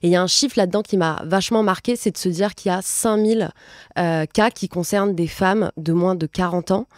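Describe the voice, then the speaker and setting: A woman in her late twenties hosts a conversational talk. Her voice sits on the low side for a woman, and the sound is close and dry. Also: fairly quick, darkish tone, dry recording. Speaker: podcast host. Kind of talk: conversational interviewing at a talk event.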